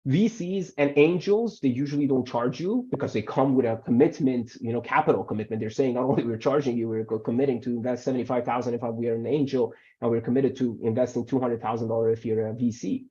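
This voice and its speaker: A man whose first language is Farsi, speaking as an immigration lawyer at a middle pitch over a video call. His audio sounds dull and lo-fi. He talks in an animated way and repeats words when he pushes a point. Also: quick pace, hardly any pauses. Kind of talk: webinar Q&A answers.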